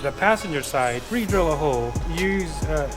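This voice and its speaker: Middle-aged male car installer, practical explainer, voice a little high, recorded in a garage with clear music behind. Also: animated, normal speed. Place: auto repair garage. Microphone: camera held by another person nearby.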